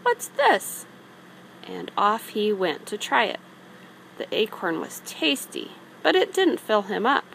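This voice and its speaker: A woman's voice: mid-range voice, sing-song, a little slow, vocal fry, a bit bright, slight background noise, low-quality recording. A woman reading a children's story aloud in a narrating style, as a storybook reader.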